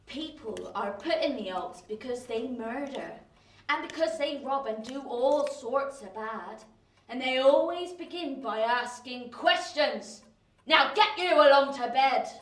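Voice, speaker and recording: A shrill, scolding English housewife. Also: female voice, lively intonation, unhurried, roomy sound, distant mic, rough recording. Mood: exasperated. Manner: scolding, exasperated, drawn out. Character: sharp-tongued, bullying, resentful village housewife. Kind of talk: radio play acting.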